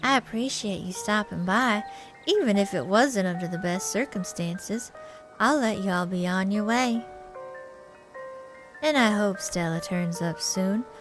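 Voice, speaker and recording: A woman voicing a mild, earnest male pastor in a visual novel, gentle, wordy and prim, with music behind. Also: mid-range voice, measured pace, close to an everyday voice. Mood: polite, grateful. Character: mild, earnest male small-town pastor, fretful and devout.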